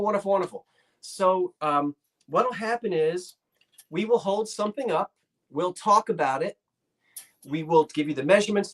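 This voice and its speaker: Chatty South Jersey man hosting a stream. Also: high-pitched. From South Jersey, Delaware Valley. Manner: rambling, restarts sentences often. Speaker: live sale host. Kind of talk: casual live-stream chat.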